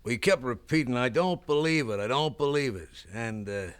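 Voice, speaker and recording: A man's voice: mid-range voice, animated, normal speed, clean tone, lightly acted. An actor playing a blunt, matter-of-fact police officer reporting to his lieutenant in a 1970s radio drama.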